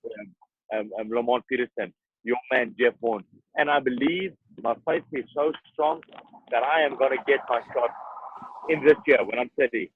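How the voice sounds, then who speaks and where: A boxer, talking earnestly and emphatically in an interview, often repeating himself. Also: male voice, mid-range voice, moderate pace, slightly rough, no echo. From South Africa. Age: 30s. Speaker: boxer guest. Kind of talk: interview conversation. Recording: tinny phone-like dry sound.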